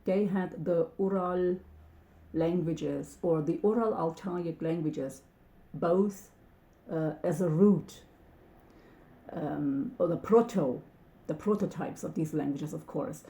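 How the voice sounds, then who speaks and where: A woman's voice: on the low side, takes it slowly, dark tone, reverberant. A woman whose first language is Turkish, talking casually to her channel's viewers, hesitant and full of ums and restarts. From Turkey. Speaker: channel host. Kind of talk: casual talk to camera.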